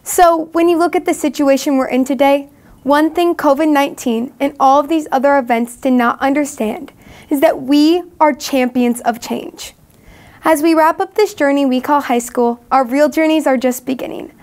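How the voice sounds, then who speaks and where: A woman's voice: high voice, moderate pace, darkish tone. A teenage young woman from the United States giving an upbeat, heartfelt student commencement speech, recorded in a studio in front of a curtain. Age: teens. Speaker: student graduation speaker.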